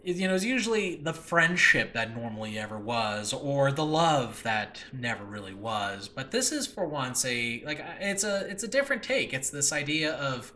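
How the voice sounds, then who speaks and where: Male, casual solo podcaster. Bright, punchy tone, earnest and self-deprecating, loose unscripted delivery, slightly noisy home recording. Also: medium pitch, sing-song, normal speed, few pauses. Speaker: host and audio drama creator. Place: makeshift room in a new home.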